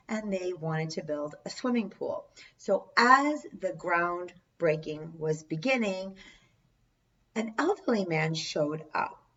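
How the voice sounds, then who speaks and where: A hoarse, middle-aged woman hosts her channel and recounts a true ghost story, earnest and chatty. Her voice sits low and she takes her time. She sounds a little far from the mic, and the sound is dull. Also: a bit bright. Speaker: channel host. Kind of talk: storytelling vlog.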